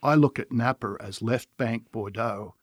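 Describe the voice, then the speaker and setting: A man with an Australian accent, a winemaker guest on a podcast, telling his story in a relaxed way with some restarts. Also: mid-range voice, measured pace, breathy edge, no room sound, clean studio sound. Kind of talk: casual podcast interview.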